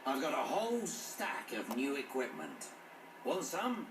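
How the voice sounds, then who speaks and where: A British-accented man playing a gruff, roguish older mercenary and mentor in a video game. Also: on the high side, very animated, a little slow. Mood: wry, teasing. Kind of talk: video game cutscene acting. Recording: echoey, distant, noisy game audio.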